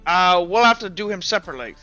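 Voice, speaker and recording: A man voicing a brisk attendant giving matter-of-fact, halting orders in a tabletop game. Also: sits high, lively intonation, pushed and bright, muffled recording, soft background music. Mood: brisk, instructing.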